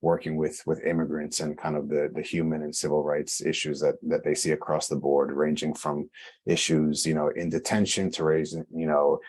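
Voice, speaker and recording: A man's voice: rumbling low, flat delivery, moderate pace, darkish tone. A man introducing himself at a public meeting in a hesitant, understated manner.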